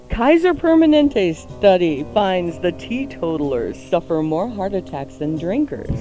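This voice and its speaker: A woman's voice: lowish voice, low-quality recording. A playful woman hosting a radio cooking show, exclaiming and often laughing through her banter and reading.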